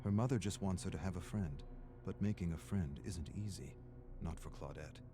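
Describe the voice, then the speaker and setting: A man narrating a scripted story in a measured, dramatic storytelling manner. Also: low voice. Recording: music under the voice. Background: background music.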